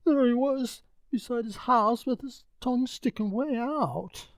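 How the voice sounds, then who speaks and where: A woman's voice: a little high, measured pace, dry recording, studio quality, pitched above the performer's own voice. A woman playing a frantic, distraught household servant in a radio play, stammering with alarm. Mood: shaken, distraught.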